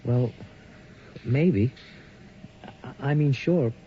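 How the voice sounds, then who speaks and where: A man's voice: mid-range voice, extremely slow. A man playing a hesitant, stammering young medical student in a 1980s radio drama. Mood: uneasy. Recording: muffled old radio recording with loud hiss.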